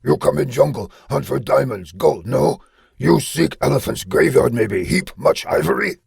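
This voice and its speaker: A man's voice: mid-range voice, animated, moderate pace, a little mellow, voice pushed up. A man voicing a wisecracking, well-educated male gorilla who talks in slangy, streetwise asides. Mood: mock pidgin. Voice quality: gravelly growl. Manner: slangy, streetwise, mock pidgin then casual.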